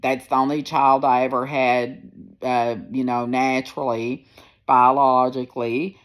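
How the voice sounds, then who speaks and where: A woman in her sixties with a deep, firm voice, talking candidly and rambling a little as she speaks to her viewers into a phone. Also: little pitch movement, measured pace. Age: 60s. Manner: candid, rambling, plain-spoken. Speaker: personal vlogger. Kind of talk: casual personal storytelling to viewers.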